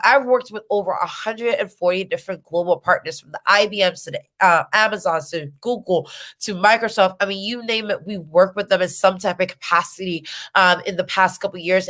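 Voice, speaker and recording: A woman's voice: medium pitch, a bit bright. American woman in her 30s doing an online startup workshop, earnest and emphatic, mid-range voice. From United States. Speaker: startup founder and workshop speaker. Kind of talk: online presentation to an audience. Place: small indoor room on a video call.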